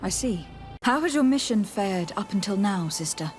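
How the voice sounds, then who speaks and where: A woman's voice: mid-range voice, lively intonation, moderate pace, over music, natural voice. An actress playing a composed noblewoman envoy in a fantasy game, asking polite questions. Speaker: game character actor. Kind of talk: scripted fantasy game dialogue.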